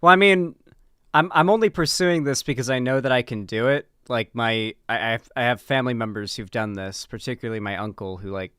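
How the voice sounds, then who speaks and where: Smooth-voiced man chatting casually on stream. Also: medium pitch, brisk, a bit bright, no room sound, studio quality. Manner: informal, chatty. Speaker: livestream host. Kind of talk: casual livestream commentary. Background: background guitar music.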